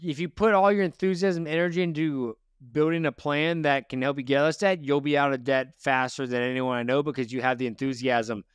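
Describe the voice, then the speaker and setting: A man's voice: medium pitch, steady tone, fairly quick, few pauses, clear, resonant tone, no echo, clean studio sound. A man hosting a personal finance interview, coaching his guest in a direct, encouraging way and repeating his key points.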